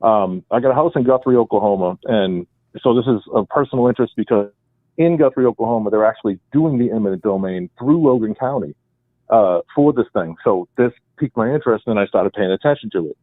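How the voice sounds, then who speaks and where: A man's voice: lowish voice, animated, fast talker, dry recording. Boston guy calling in from home, rambles on and on, tinny phone line. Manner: rambling, emphatic explainer. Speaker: caller. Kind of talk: call-in radio talk. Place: home, with his wife beside him. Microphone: speakerphone, then handset.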